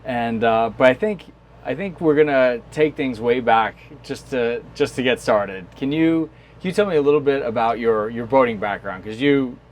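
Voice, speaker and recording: A man hosting a podcast interview aboard a small boat, speaking casually and often repeating words as he goes. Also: mid-range voice. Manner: friendly, halting, repeats words. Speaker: podcast host.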